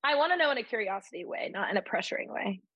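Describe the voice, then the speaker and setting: A woman co-hosting a casual podcast, soft-spoken and a little hesitant, thinking out loud. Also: mid-range voice, lively intonation, husky, no room sound, lo-fi sound. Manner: soft-spoken, hesitant, self-described slow-moving. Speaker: podcast co-host. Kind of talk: casual chat.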